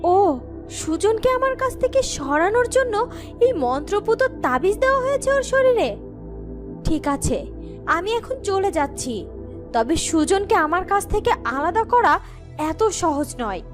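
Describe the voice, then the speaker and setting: High-pitched, vengeful serpent queen voiced by a woman. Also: big pitch swings, a little slow, music in the background, full character voice. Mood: indignant, determined. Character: possessive, vengeful serpent queen in human form.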